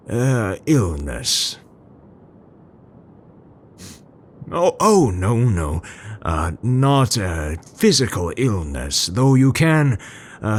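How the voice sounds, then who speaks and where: A man performs as a gentle, brooding male scientist in an intimate ASMR roleplay. His speech is very slow and formal, full of hesitant pauses, and it rises and falls expressively. A faint low rumble sits behind the voice. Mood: apologetic, hesitant. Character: tender, scholarly Dutch male scientist, brooding but gentle.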